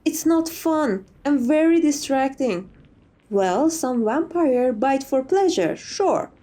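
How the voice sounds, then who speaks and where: Chatty, gracious female vampire played by a woman for ASMR roleplay; higher-pitched, soft-edged mellow tone, genteel phrasing. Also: animated, slow. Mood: mildly exasperated. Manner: genteel, conversational, slightly formal phrasing. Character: sociable, chatty, gracious old female vampire being interviewed.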